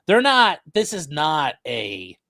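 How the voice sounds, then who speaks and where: A man hosts a live stream in a very animated, up-and-down voice. He reads viewer questions and explains legal points casually, slipping in wry jokes. The sound is dry and close. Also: fairly high pitch, slow, gravelly, dry recording.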